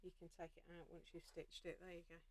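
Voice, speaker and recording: A woman's voice: fairly low pitch, little pitch movement, fast, a little mellow. A woman hosting a live craft stitch-along, practical and reassuring as she thinks aloud while she works. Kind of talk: casual live craft demonstration.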